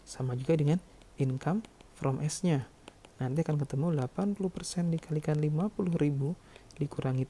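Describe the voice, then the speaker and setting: Very dark-voiced man explaining, gravelly edge. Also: on the high side. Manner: step-by-step, frequently checking with "ya". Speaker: accounting lecturer. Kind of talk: tutorial explanation of worked calculations.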